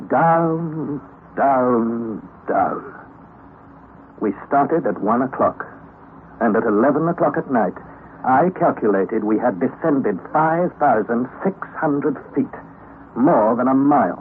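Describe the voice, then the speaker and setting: A young nephew telling of an expedition, voiced by a man in an old radio drama. He narrates in a measured way with lively rises and falls. The recording is thin, phone-like and lightly hissy. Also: mid-range voice. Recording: tinny lo-fi old radio recording, faint hiss.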